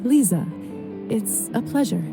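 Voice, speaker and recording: A woman playing a polite, earnest young newly turned vampire in a video game, speaking in a measured way. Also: fairly high pitch, expressive, gravelly, loud music behind the voice. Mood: polite, curious. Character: polite, curious, uneasy young female new vampire. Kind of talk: video game character acting.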